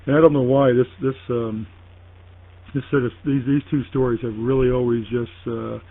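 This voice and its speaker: A man in a casual two-way radio chat, speaking haltingly and deliberately with frequent pauses. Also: medium pitch, creaky. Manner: halting, deliberate, pausing often. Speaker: ham radio operator in a contact. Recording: tinny phone-like internet radio link.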